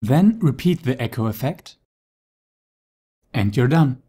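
A man's voice: medium pitch, animated, slow, mellow, breathy edge. A man giving scripted tutorial narration as the presenter of a how-to.

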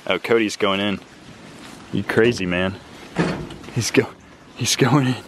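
A young man exploring outdoors with a friend, reacting excitedly and exclaiming at what they find. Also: lowish voice, unhurried, noisy background. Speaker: vlogger companion. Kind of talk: casual exploring chat.

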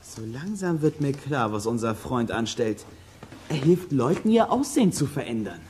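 A performer playing a bold, practical boy detective with a brisk, decisive manner in a children's audio drama. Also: mid-range voice, big pitch swings, darkish tone, a little hiss or hum, lightly acted. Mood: intrigued.

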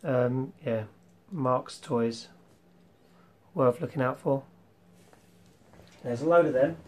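A young man hosting a casual retro collection vlog, rambling with ums and clearing his throat, his voice slightly worn from losing it. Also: mid-range voice, slow pace, darkish tone. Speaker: retro game collector vlogger. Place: small room full of toy shelves, indoors.

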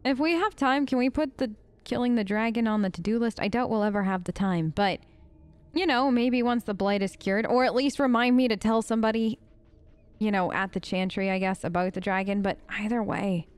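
A woman's voice: medium pitch, brisk, smooth, dry recording. Conversational woman gamer, close dry mic. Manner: conversational, hesitant, thinking aloud. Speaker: let's play streamer role-playing her character. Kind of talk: improvised in-character gaming commentary.

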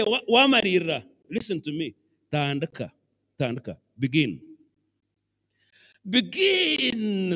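Animated Ugandan bishop preaching in a church. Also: male voice, sits high. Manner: animated preaching, mixing English phrases into his talk, acting out quoted voices. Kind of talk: sermon. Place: large church, indoors. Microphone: handheld mic. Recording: long church hall reverb.

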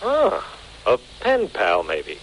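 Animated sardonic cop, noisy recording. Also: male voice, lowish voice, slow pace, heavily acted. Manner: clipped, sarcastic. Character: sardonic, gruffly commanding male police detective. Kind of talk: 1940s radio crime drama acting. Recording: noisy 1940s radio recording.

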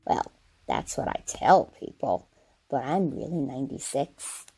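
A woman's voice: extremely low pitch, big pitch swings, measured pace, vocal fry, mellow, slightly rough, heavily acted, poor audio. A woman playing a feisty, blunt great-grandmother of ninety-six in an old-time radio comedy. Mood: chatty, sly. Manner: blunt, folksy.

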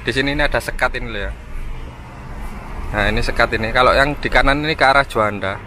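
A man's voice: mid-range voice, quick pace, bright, forceful tone, a little hiss or hum. A man chattily narrating a road trip from inside his car, guiding viewers along the route. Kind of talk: casual narration while driving.

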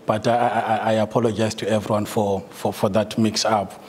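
A man's voice: lowish voice, little pitch movement, normal speed, husky. Hesitant Zimbabwean man in a reverberant room. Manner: hesitant, many ums and uhs, light laughter. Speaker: guest speaker, solicitor. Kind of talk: informal talk to staff. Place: meeting room, indoors.

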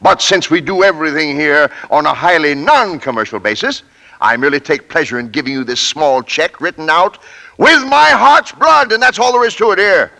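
A pompous American company president in an old-time radio comedy. His voice is fairly high and forceful, swinging widely as he sputters with exasperated sarcasm. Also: expressive, moderate pace, gravelly, full character voice, low-quality recording. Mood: grudging. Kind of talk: 1940s radio comedy acting. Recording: vintage 1940s radio broadcast.

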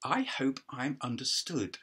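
A man's voice: mid-range voice, sing-song, a little slow, bright, forceful tone, husky, full character voice. A man voicing an irate, officious tribunal judge in a play read-through, with stern, bossy commands. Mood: irritated.

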